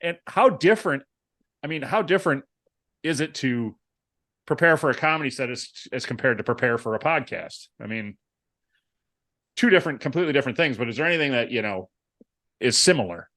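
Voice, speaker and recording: A man co-hosts a casual podcast and asks long, winding questions, restarting often. His voice sits at an ordinary mid pitch. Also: animated, a little fast, pauses often, a bit bright. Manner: rambling questions with many restarts. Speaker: podcast co-host. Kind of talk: casual chat. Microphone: remote video call.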